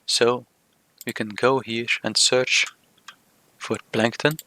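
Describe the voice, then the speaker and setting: A man narrating a software tutorial step by step, speaking in short, measured, halting phrases. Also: mid-range voice, fairly even intonation, slight background noise. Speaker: software demonstrator. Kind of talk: scripted tutorial narration of a screen demo.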